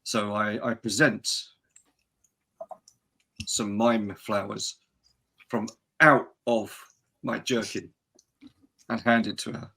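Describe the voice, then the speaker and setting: A man playing a tabletop roleplaying game, describing his character's actions in a hesitant, halting way with a rough, gravelly voice. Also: fairly low pitch, flat delivery, sharp tone.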